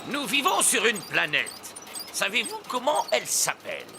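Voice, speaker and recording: A grown-up cartoon rabbit guiding museum visitors. He is earnest and instructive, with very lively swings in pitch and some creak in the voice. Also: moderate pace, very noisy, heavily acted. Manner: instructive, showman-like. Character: earnest adult male rabbit museum guide. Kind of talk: French cartoon dub.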